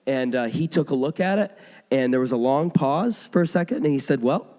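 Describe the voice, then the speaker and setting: Hoarse man preaching in a reverberant hall. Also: mid-range voice, expressive, fairly quick, mellow. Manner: conversational storytelling with frequent restarts. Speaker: pastor. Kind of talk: sermon. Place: church sanctuary.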